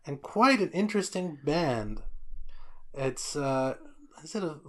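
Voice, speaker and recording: A man co-hosting a podcast. He reads a listener letter aloud, then chats casually in a loud, jolly way, often stammering and restarting. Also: fairly high pitch, expressive, slow pace, over a noisy crowd. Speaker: podcast co-host.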